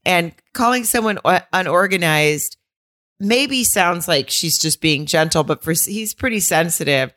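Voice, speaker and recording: A woman's voice: lowish voice, lively intonation, normal speed, fairly bright tone, dry recording, studio quality. A woman co-hosting a casual recap podcast, joking and laughing often with rambling asides. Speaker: podcast co-host.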